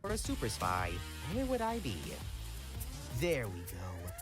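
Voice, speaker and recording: A woman voicing a cocky young male hero in a cartoon comic dub, breezy and sarcastic, over loud music. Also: a bass voice, wildly swooping pitch, measured pace, heavily acted. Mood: cocky, teasing.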